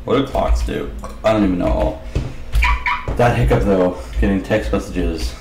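A man with a slightly raspy voice giving casual commentary over his gameplay, mumbling asides as he plays. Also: sits low, unhurried, reverberant, music in the background. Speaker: gaming channel host.

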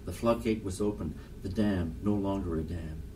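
A man reads poetry in a low, dark voice. He sounds set back from the microphone, with faint noise behind him. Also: moderate pace. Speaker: poetry reader. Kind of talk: literary poem reading. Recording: distant mic, faint background hiss.

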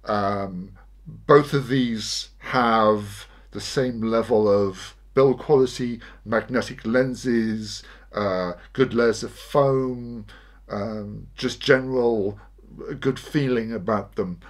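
A man's voice: fairly low pitch, slow. Rambling man reviewing gear. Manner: rambling, many ums and uhs. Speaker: gear reviewer and channel host. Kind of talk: casual product review chat.

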